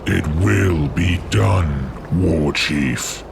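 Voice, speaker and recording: Sinister orc warlock, gravelly fry, crawling deliberate delivery, falsely servile, game cutscene, noisy background. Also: male voice, deep voice, exaggerated voice. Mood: obedient, sly. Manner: slow, deliberate, feigned servility. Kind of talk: fantasy game cutscene acting.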